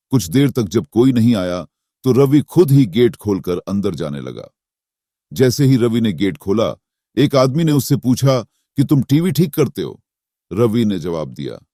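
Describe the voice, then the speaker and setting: A man narrates a scripted horror story in a dramatic, suspenseful way. His voice is low and warm, and his intonation rises and falls in a lively way. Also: no echo, studio quality.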